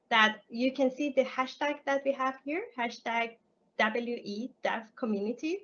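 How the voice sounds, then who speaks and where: Brief: woman host, fairly high voice, halting delivery, muffled call audio. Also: a bit bright. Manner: hesitant, many restarts. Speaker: session host. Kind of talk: live online event closing. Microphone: video call. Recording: muffled video-call audio with faint noise.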